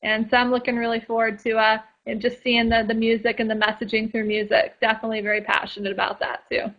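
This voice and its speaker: A woman's voice: on the high side, monotone, fast. A woman guest in a casual radio interview, chatty and full of fillers and restarts. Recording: dull lo-fi sound.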